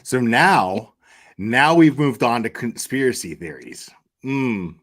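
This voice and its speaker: Male reaction commentator, unhurried, mid-pitched, derisive and sarcastic. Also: sing-song, a bit bright.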